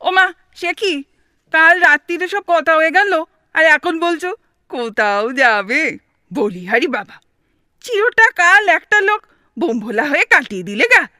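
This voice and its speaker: Shrill, scolding old Bengali wife. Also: female voice, lively intonation, unhurried, sharp tone, no room sound, studio quality, heavily acted. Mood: exasperated, scornful. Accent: Bengali, West Bengal. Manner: scolding, sarcastic, theatrically grumbling. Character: exasperated elderly nagging Bengali wife, sharp-tongued. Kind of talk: comic audio drama acting.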